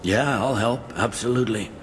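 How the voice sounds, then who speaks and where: An actor playing a pragmatic, weary middle-aged police captain in a video game, plain-spoken and matter-of-fact. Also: male voice, mid-range voice, normal speed, a little hiss or hum, lightly acted. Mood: businesslike.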